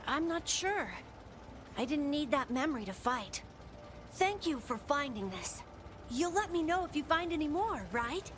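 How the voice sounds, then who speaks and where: A woman voicing a gentle, wistful male creature in a video game, with a soft, lilting voice. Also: sits high, big pitch swings, a little slow. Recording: game audio with clear background noise.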